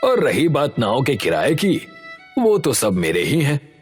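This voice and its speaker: A stubborn village headman with a merchant's greed, played by a man. He talks down to his listener in a mid-range voice with expressive swings. Soft music sits behind this animated-story villain. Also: mid-range voice, unhurried. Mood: dismissive. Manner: dismissive, condescending. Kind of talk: Hindi animated story voice acting.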